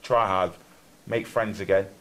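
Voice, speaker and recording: Young man, from Germany, interviewer, lowish voice, rambling questions. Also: fairly even intonation, measured pace, fairly bright tone, poor audio. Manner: rambling, restarts questions often. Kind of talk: casual church interview.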